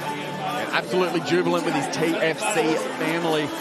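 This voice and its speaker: A man giving excitable live play-by-play commentary on a cage fight, often shouting. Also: a little high, fairly quick. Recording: loud crowd and music behind.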